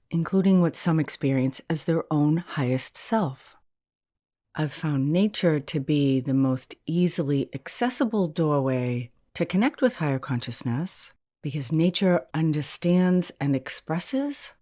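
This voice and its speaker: A woman leading a guided meditation as a hypnotherapist, in a soothing, gentle and unhurried manner. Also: deep voice, dry recording, clean studio sound.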